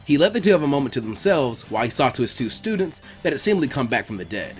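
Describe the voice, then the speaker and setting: A man reading a scripted story aloud as its narrator, in an animated storytelling style. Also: medium pitch, fast, soft background music. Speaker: narrator of fan fiction readings.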